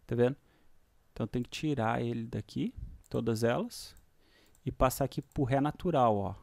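A man with a Brazilian accent giving a casual, hands-on music software lesson in an informal, slangy way. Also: mid-range voice, moderate pace, vocal fry, dry recording. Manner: informal, uses diminutives and slang. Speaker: music software tutorial host. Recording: electronic synth music behind voice. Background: synthesizer and vocoder demo sounds, clicks.

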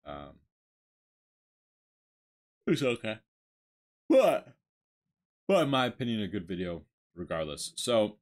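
A young man vlogging casually at a desk, with a deep voice and a rambling, hesitant way of talking full of fillers. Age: young adult. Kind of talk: casual commentary. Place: small room at a desk.

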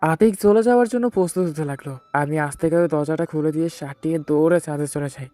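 A man's voice: fairly high pitch, fast talker, dark tone, no room sound, faint music behind, natural voice. A man playing a betrayed, heartbroken husband who narrates his own story in a sorrowful, earnest way. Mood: pained, tense. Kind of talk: dramatic first-person audio story narration.

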